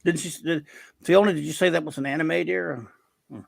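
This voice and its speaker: A man's voice: on the high side, fairly even intonation, fairly quick, gravelly, dry recording, studio quality. A man hosting a casual livestream chat over a video call, rambling and hesitant, often poking fun at his own memory. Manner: rambling, hesitant, self-deprecating. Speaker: show host.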